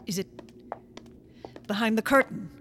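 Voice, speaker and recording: A woman's voice: a little high, a little slow, fairly bright tone, slight background noise. An actress playing a tough, sceptical woman in a horror radio drama, blunt and no-nonsense. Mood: wary, sceptical. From Chicago, USA (the character). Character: tough, sceptical, unsqueamish woman customer demanding answers.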